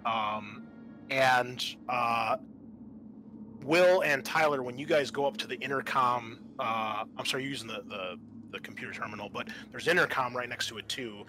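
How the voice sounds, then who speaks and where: A man speaking haltingly as game master, narrating scenes and explaining rules in a tabletop roleplaying game. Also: medium pitch, over music. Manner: hesitant, halting, thinking aloud. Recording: clear background noise, amateur podcast.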